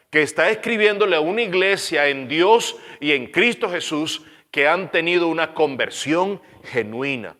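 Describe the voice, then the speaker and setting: A Venezuelan man with a Caracas accent preaches in a slightly higher voice, earnest and insistent. He repeats phrases for weight and lets line endings sink quiet. A long hall reverb trails each word. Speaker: pastor. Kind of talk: sermon.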